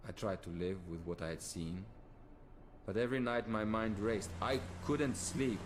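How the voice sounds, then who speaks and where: A man's voice: on the low side, normal speed, a little hiss or hum, close to an everyday voice. A man acting as a weary Soviet war veteran, giving somber, bitter first-person narration in a video game. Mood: haunted, somber.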